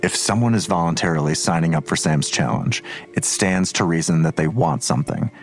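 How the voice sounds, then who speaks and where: A man's voice: deep voice, fairly quick, faint music behind. An actor playing a skeptical police detective in an audio drama, terse and probing in his questions. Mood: challenging, doubtful. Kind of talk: naturalistic audio drama dialogue.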